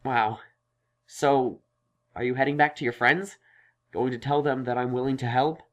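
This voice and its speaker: A man playing a kindly young male pony scholar in an audio drama, reassuring but self-conscious and halting. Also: mid-range voice, fairly even intonation, a bit bright, close to an everyday voice. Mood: friendly, insistent. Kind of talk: audio drama fan fiction reading.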